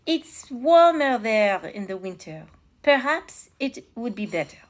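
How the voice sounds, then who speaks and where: A woman's voice: fairly high pitch, sing-song, measured pace, fairly bright tone, exaggerated voice. A woman voicing a worried, polite royal counselor from a storybook, careful and deferential, with an attempted French accent.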